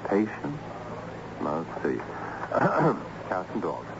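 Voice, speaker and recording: An actor playing a harried, ironic assistant principal in an old-time radio drama, theatrical and patiently explanatory. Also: male voice, low voice, wildly swooping pitch, slow pace, creaky, full character voice. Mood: enthused, explanatory. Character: harried, excitable male school assistant principal with ironic humour. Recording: dull, noisy old radio recording.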